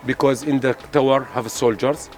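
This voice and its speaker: A man from Palestine explains things to visitors in plain, halting English and mixes up his grammar now and then. His voice sits mid-range and stays earnest. Faint music runs in the background. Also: mid-range voice, steady tone. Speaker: tour guide. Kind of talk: guided tour explanation.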